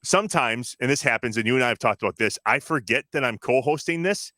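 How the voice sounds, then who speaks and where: A man with an American accent and a bright, forward voice, recorded close and dry. His pitch moves a lot as he chats. He sounds like an enthusiastic podcast co-host. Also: expressive, fast, no room sound. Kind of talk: casual chat.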